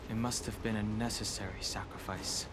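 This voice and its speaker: A man's voice: fairly low pitch, steady tone, a little fast, natural voice. An actor playing a reserved, stoic male guide in a video game, restrained and solemn. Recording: clearly noisy ambient background.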